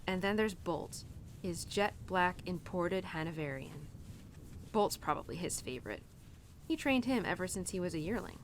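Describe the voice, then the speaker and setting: Woman as young cowgirl, independent and conflicted; animated, informal, intimate monologue for audio roleplay, some background noise. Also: fairly low pitch, normal speed. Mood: fond, gossipy. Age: young adult. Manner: chatty, hesitant, informal, peppered with "like" and "you know". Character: young cowgirl venting to her horse, conflicted and stubbornly independent.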